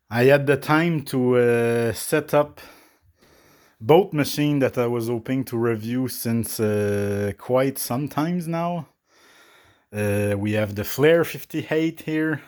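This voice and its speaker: A man who is not a native English speaker, reviewing espresso machines unscripted from a small apartment kitchen, with many pauses and filler sounds. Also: mid-range voice, a little mellow. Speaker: coffee equipment reviewer.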